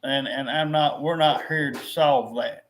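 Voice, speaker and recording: A man's voice: mid-range voice, fairly even intonation, a little slow. A middle-aged man venting to his viewers, frustrated and repetitive, often restarting his sentences. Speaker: vlogger. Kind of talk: rambling to-camera vent.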